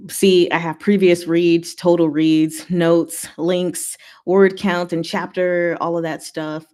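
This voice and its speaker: A woman's voice: lowish voice, level tone, slow pace, darkish tone. A woman hosting a livestream, chatting informally and giggly as she reads and answers viewer comments. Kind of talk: casual livestream chat, reading comments aloud.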